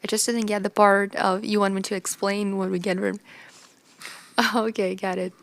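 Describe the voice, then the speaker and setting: A woman's voice: medium pitch, little pitch movement, moderate pace, gravelly, no echo. Hesitant woman, Portuguese native speaker. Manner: hesitant, many "like" and "uh" fillers. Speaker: workshop participant. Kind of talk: spontaneous answer.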